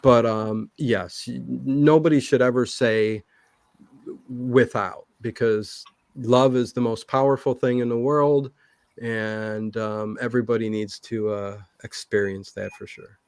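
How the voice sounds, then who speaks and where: A man's voice: medium pitch, steady tone, unhurried, darkish tone, dry recording. Man, interview guest, casual chat, hesitant, rambling, repeated words, dry close sound.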